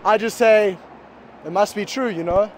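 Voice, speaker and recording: A man's voice: high-pitched, normal speed, slight background noise, poor audio. A man from South Africa, interviewed on a city street, telling a story animatedly and mocking others' voices. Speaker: passer-by interviewee.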